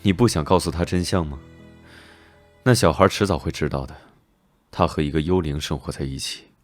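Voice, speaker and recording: An actor playing a cool, aloof investigator in an animated drama, dryly dismissive in manner. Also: male voice, rumbling low, a little fast, soft background music. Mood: dry, scornful. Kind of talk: Chinese animated drama voice acting.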